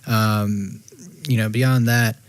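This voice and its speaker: A man on a panel giving casual business advice, halting and leaning on filler words. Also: lowish voice, steady tone, clean tone, dry recording. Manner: halting, lots of "you know" fillers. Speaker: panel guest.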